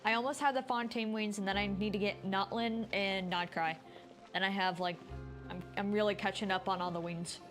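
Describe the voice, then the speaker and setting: A woman's voice: medium pitch, steady tone, moderate pace, fairly bright tone, faint music behind. A woman game streamer giving casual, chatty commentary and thinking aloud as she plays.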